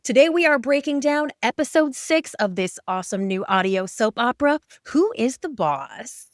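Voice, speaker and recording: A woman's voice: a little high, very animated, normal speed, dry recording, clean studio sound. A woman hosting a podcast, talking in a conversational interview style as she recaps a show. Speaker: podcast host.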